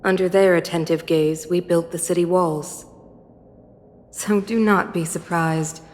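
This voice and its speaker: An actress playing a regal, composed supreme ruler in a video game, stately, deliberate and condescending. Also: female voice, on the low side, fairly even intonation, a little mellow, lightly acted. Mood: knowing, stately. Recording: long hall reverb.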